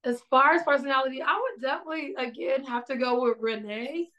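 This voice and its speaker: Woman, twenties, slightly high voice, lively, gestures while talking, video call from a small room. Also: a little fast, highs cut off. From South Side of Chicago. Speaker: songwriter guest. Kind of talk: casual interview chat.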